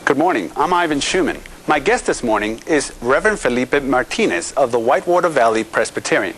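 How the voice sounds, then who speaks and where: A middle-aged man hosting a TV studio interview, asking halting questions and echoing his guest's words. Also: medium pitch, lively intonation, fairly bright tone, slight background noise. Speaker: TV host.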